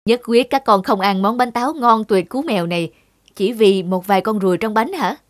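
A woman playing a firm, caring mother in an animated moral tale, speaking in a measured, explanatory, motherly way. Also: medium pitch, no echo, clean studio sound, lightly acted. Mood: gently persuasive. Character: firm, caring mother of two young daughters. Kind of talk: animated cartoon dubbing, clear instructive dialogue. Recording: music behind the voice.

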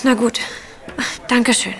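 A woman's voice: on the high side, fairly even intonation, measured pace, faint music behind. An actress playing a young housemaid in a radio play, simple, guileless and emotional in her earnestness. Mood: shy, upset. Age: young adult. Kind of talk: German radio play acting.

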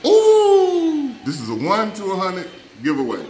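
A middle-aged man with a fairly high, very animated voice chats playfully. He hosts casually, repeating his phrases. The room is echoey, with people talking behind him. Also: a little fast. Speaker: barber hosting a giveaway. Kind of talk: casual vlog chat with customers. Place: barbershop, indoors. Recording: echoey room with shop chatter.

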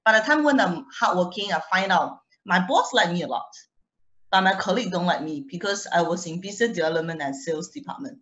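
A woman's voice: on the low side, expressive, quick pace, fairly bright tone, low-quality recording. Chatty young woman with a non-Singaporean accent. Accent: foreign, not Singaporean. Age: young adult. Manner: chatty, laughs often, restarts words. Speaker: guest speaker. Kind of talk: personal testimonial.

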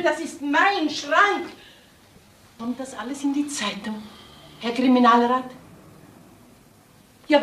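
A high-voiced, indignant landlady. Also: pushed and bright, reverberant, exaggerated voice. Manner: plaintive, exclamatory. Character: indignant, lamenting landlady, easily outraged. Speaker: actress in a crime drama.

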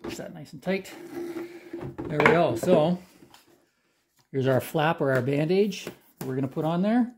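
A middle-aged man from Canada, hosting a do-it-yourself channel, explaining a hands-on repair in a relaxed, plain-spoken way while he works in a garage workshop. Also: on the high side, measured pace, long pauses, noisy background. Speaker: DIY channel host. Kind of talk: hands-on how-to demonstration.

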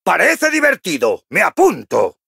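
A man with a Castilian accent from Spain voicing a boisterous, cocky, jovial dwarf warrior for a video game, gruff and raspy, hearty and full of exclamations. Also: high voice, wildly swooping pitch, measured pace, bright, forceful tone, no echo, studio quality, full character voice. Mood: hearty, eager. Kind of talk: video game character voice lines.

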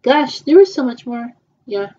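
A young woman in her twenties, improvising a roleplay dialogue in a hesitant, halting way, with many repeats and fillers. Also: sits high, expressive, warm tone. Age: 20s. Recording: muffled lo-fi home recording.

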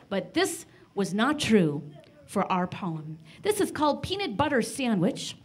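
A middle-aged woman presenting live, playful and chatty as she introduces and reads poetry to children. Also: mid-range voice. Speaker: live radio show presenter. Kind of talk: introducing and reading a poem to children. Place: large library event room with audience.

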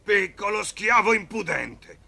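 Casting: indignant animated court villain, gravelly rasp, swooping wildly, haughty. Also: male voice, high-pitched, measured pace, exaggerated voice. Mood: outraged. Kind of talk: dubbed cartoon acting.

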